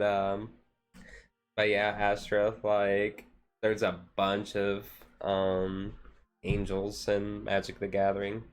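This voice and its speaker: A male streamer talks in a fairly low voice at a very unhurried pace. His delivery stays flat and rambling, with a hesitant, laid-back feel, like someone chatting while his hands are busy. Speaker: live streamer. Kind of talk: casual chat while doing a card-game activity.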